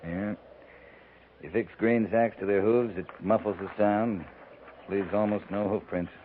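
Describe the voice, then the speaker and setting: A man's voice: fairly low pitch, fairly even intonation, measured pace, close to an everyday voice. Man acting a commanding cavalry captain, old tinny radio. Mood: hushed, tense. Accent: American. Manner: clipped, authoritative, terse. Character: seasoned, commanding US Army cavalry captain on patrol. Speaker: radio drama actor. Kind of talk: 1950s radio western acting. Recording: tinny, very noisy 1950s radio recording.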